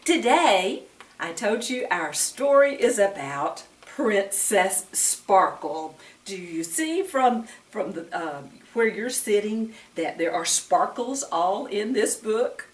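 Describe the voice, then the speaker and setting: A woman's voice: mid-range voice, big pitch swings, slow pace. A woman talking and reading to young children as a storyteller and Bible teacher, in a sing-song way with questions put to the listeners. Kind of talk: read-aloud storytime talk to young children. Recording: roomy echo, distant microphone.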